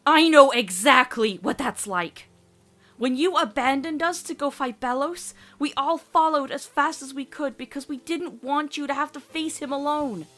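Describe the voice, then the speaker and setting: A woman's voice: high-pitched, moderate pace, a bit bright. A woman voicing a devoted, earnest teenage girl in an emotional cartoon comic dub, pleading and faltering as she speaks. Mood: pained. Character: devoted, earnest teenage girl, fearful of losing loved ones.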